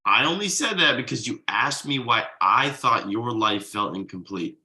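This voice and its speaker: A man's voice: mid-range voice, animated, moderate pace, pushed and bright. A man playing a testy, sarcastic father in a sitcom table read, dry and needling. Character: testy, sarcastic husband and father of a small girl.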